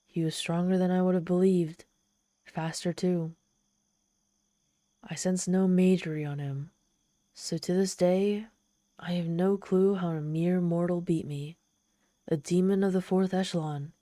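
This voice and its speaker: A woman plays a haughty female demon telling her tale straight to the listener in an ASMR roleplay. Her voice is slightly low and mellow, with a dry, roomless sound. She is theatrical and proud, yet easily flustered and exasperated. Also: fairly even intonation, no echo, natural voice. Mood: embarrassed, grudging. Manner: haughty, theatrical, halting when embarrassed.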